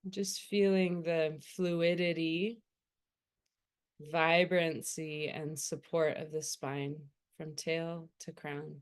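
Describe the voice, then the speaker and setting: A woman leading a guided meditation as a teacher, speaking gently and soothingly with unhurried pauses between phrases. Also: low voice, flat delivery, smooth.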